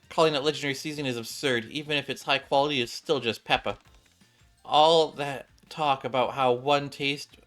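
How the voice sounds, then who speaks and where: A man's voice: mid-range voice, a bit bright, lightly acted. A man voicing a confident, haughty young female maid cook with a matter-of-fact, dismissive manner in a game story.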